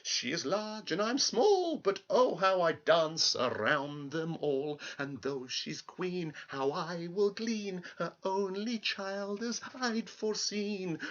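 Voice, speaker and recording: A man voicing a sly, mischievous, quick-tempered little man from a storybook, in a theatrical, emphatic manner. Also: high voice, lively intonation, fairly bright tone, lo-fi sound, voice pushed up, heavily acted. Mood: gleeful singsong. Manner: theatrical, emphatic drawn-out refusals. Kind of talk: storybook character voice.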